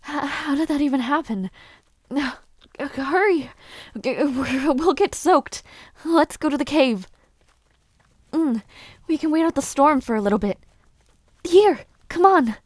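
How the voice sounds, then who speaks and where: A young woman playing a gentle, shy noblewoman who aspires to knighthood, speaking softly and haltingly in an intimate audio roleplay. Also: high voice, lively intonation. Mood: alarmed, urgent. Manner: soft, hesitant, halting speech.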